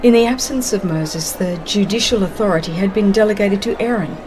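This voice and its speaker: A woman reads a religious history as a dramatized audiobook, in a solemn, measured manner with deliberate phrasing. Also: medium pitch, rough recording. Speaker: audiobook narrator. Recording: music under the voice. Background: background music.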